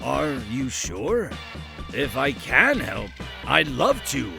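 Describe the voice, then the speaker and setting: A gentle, befuddled old cartoon tinkerer. His delivery swoops widely and takes its time. He is polite and bumbling, easily puzzled. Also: mid-range voice, wildly swooping pitch, takes it slowly, vocal fry, exaggerated voice. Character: amnesiac older male tinkerer, gentle and befuddled. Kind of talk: comic dub character acting. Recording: faint music behind the voice.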